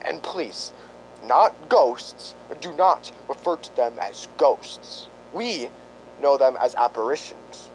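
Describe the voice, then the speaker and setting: A man's voice: mid-range voice, expressive, slow pace, darkish tone, a little hiss or hum, low-quality recording. A man playing a solemn, earnest ghost-hunting doctor in an amateur radio drama, speaking in a formal, pedantic, grave manner. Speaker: paranormal investigator.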